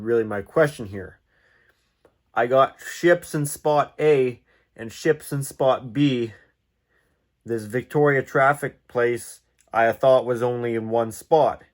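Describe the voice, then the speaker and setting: A man talking in a casual, rambling, self-deprecating way as he puzzles things out in a hobbyist vlog. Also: mid-range voice. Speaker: hobbyist video host.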